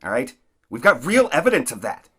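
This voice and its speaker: An actor playing a corrupt, blustering mayor in an audio drama, with a bullying, pompous manner. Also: male voice, medium pitch, wildly swooping pitch, brisk, a bit bright, breathy edge, full character voice. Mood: scheming. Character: corrupt, blustering, foul-mouthed male mayor, scheming and bullying.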